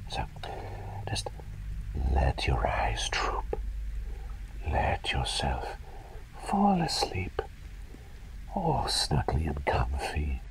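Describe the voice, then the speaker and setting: Eccentric plague doctor, acted by a man, very deep breathy whisper, soothing, hesitant, ASMR roleplay. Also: heavily acted, poor audio. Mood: soothing, tender. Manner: hesitant, muttering, gently soothing and coaxing. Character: eccentric, doting plague doctor who experiments on patients.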